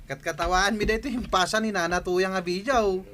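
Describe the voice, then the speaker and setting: A man's voice: sits high, steady tone, a little fast, crowd noise, natural voice. A man with an Ilocano accent playing an easily amused villager in a radio comedy drama. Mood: indignant.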